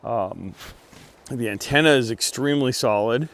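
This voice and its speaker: A man giving a casual outdoor gear review, speaking in a halting way as he thinks aloud. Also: medium pitch.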